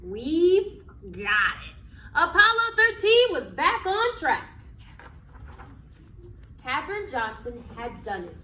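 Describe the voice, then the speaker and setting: Very bright, animated woman reading aloud, echoey. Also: high-pitched, slow, long pauses. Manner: emphatic, dramatic phrasing with questions to listeners. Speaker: read-aloud storyteller. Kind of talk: expressive children's picture book read-aloud. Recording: roomy echo, distant mic, background noise.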